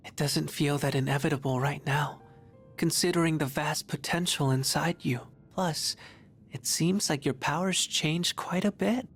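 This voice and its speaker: A mysterious young boy in a video game. The voice sits low and moves at an unhurried, measured pace. It is gentle and curious, with music playing behind. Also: husky, exaggerated voice. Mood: calm, ominous.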